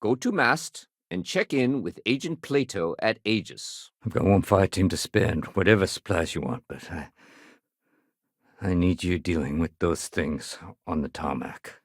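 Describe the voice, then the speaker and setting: An actor playing a wry, world-weary, businesslike security sergeant in a sci-fi video game, with a dry conversational delivery. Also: male voice, deep voice, animated, a little slow, no room sound. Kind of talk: acted video game dialogue.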